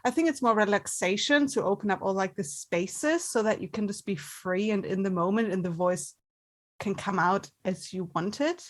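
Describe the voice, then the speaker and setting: Mid-pitched woman, candid and conversational. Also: normal speed. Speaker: actor being interviewed. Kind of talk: casual chat.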